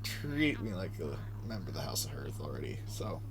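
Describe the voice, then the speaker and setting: A woman's voice: rumbling low, very animated, brisk, background noise. A woman live-streaming a game, giving casual, joking reactions to the story. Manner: joking, laughs easily.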